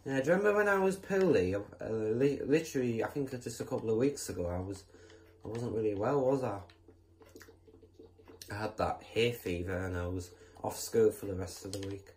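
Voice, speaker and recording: A male host chatting casually while building in a game, rambling with lots of fillers and restarts, sometimes bursting into excited exclamations. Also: fairly low pitch, normal speed, slight background noise, rough recording. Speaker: gameplay channel host.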